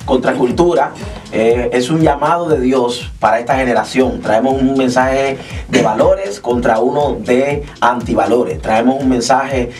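A man's voice: mid-range voice, unhurried, darkish tone, recorded from a distance, faint music behind. Earnest Cuban man on studio interview. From Cuba. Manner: earnest, explanatory, sings gospel lines. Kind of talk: radio interview. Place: small radio studio. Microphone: studio mic with headphones.

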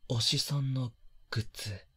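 A male voice actor playing a polite, flustered young man in a romantic voice drama, gentle and hesitant, with a soft breathy delivery. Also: medium pitch, steady tone, moderate pace. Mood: flustered, apologetic. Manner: hesitant, bashful, apologetic, gentle.

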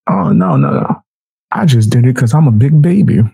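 A man gives casual reaction commentary as a show host. His voice is mellow and slightly husky, with a dry, close sound. He talks in slang and with a mocking edge. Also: medium pitch, huge pitch swings, normal speed, no room sound.

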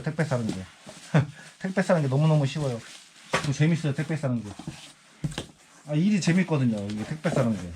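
A man in his forties talks to his viewers while packing parcels, rambling from one thought to the next and sighing to himself. His voice sits a bit high for a man. A steady noise runs behind him. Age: 40s. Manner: rambling, musing aloud, lots of sighing asides. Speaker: small online seller. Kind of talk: casual vlog chat while packing parcels. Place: indoor work space packing parcels.

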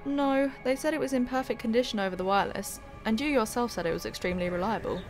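A woman's voice: a little high, quick pace, faint music behind, lightly acted. A woman acting in an audio drama as an earnest, anxious young student on a polar expedition. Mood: worried.

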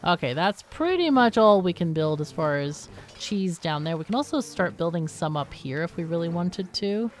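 A woman's voice: on the low side, lively intonation, normal speed, hardly any pauses, faint music behind. A woman gives casual, unscripted game commentary, thinking aloud and asking herself questions as she plays. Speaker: gaming video host.

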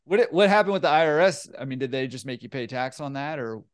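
Male host, 30s, relaxed and affable, headset mic, casual banter. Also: medium pitch, fast, clear, resonant tone. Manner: easygoing, laughs along, short affirmations. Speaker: podcast host. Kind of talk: casual interview chat. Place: room with bookshelf. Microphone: headset, video call.

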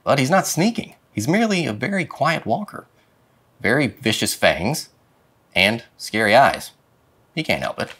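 A man reading a children's picture book aloud with dramatic, expressive phrasing. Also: medium pitch, normal speed, breathy edge. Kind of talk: expressive storybook read-aloud. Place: indoor studio with plain dark backdrop.

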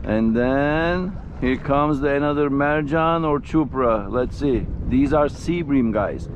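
A middle-aged man hosting a fishing vlog from a small boat at sea, cheering loudly over each fish he catches. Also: mid-range voice, slow pace. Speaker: fishing vlogger host. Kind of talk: casual fishing vlog commentary. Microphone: action camera on the boat. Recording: outdoor wind with music bed.

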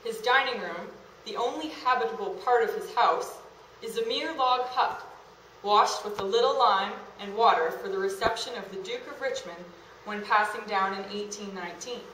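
A woman giving a measured, formal dramatic reading of a historical account to an audience. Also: mid-range voice, fairly bright tone. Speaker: costumed dramatic reader. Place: meeting room in a historic house, before an audience. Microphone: camera a short way off. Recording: echoey room, faint noise.

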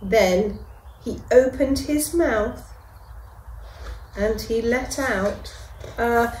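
A middle-aged woman, a reception class teacher, reading a picture book aloud to children with gentle, expressive delivery and dramatic pauses. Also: a little high. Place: school classroom, indoors. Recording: echoey classroom.

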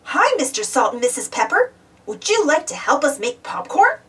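A woman's voice: high voice, very animated, normal speed, fairly bright tone, far from the mic. A woman reading a children's storybook aloud, exclaiming and putting questions to young listeners. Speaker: storybook reader. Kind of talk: animated children's read-aloud.

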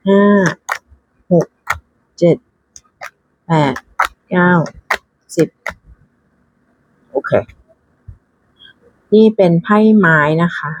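A woman talks casually through an unboxing. Her voice is fairly low and husky, and she takes her time, thinking aloud with little exclamations and English terms mixed in. The sound is dry. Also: no echo, muffled recording. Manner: mixes English card names into her talk. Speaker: tarot deck reviewer.

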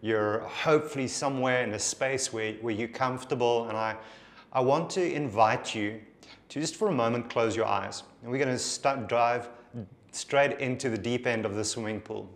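A man in his forties from South Africa with an Afrikaans accent, giving an earnest, intimate sermon to camera in a bare studio. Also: mid-range voice. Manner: earnest, intimate, slow and reflective. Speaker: pastor.

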